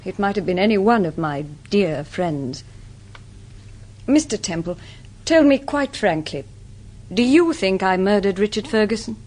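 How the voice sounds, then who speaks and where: Poised english society lady, husky, careful answers, radio play. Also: medium pitch, lively intonation, measured pace, long pauses, a little mellow. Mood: composed. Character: composed, well-connected society woman under questioning. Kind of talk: radio drama acting.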